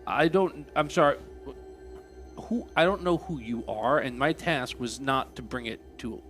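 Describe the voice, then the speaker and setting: A man speaking in character for his tabletop hero, earnest and measured, now and then pausing mid-thought. His pitch sits in an ordinary middle range, with faint music playing low underneath. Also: a bit bright. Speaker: player.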